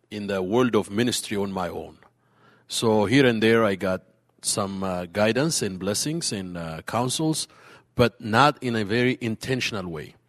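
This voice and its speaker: Earnest halting pastor in a big hall. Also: male voice, lowish voice. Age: late 30s. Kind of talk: panel discussion answer. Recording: hall reverb.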